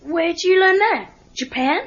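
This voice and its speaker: High-voiced, guarded American teenage boy. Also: unhurried, fairly bright tone. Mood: curious, polite. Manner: casual, defensive. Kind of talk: audio story dramatization. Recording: dull cassette tape with music.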